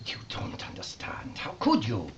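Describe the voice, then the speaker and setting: An actor playing a frightened, pleading captured German guard with a German accent in an audio drama. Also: male voice, normal speed, natural voice. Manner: pleading, agitated. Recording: dull lo-fi recording with clear noise.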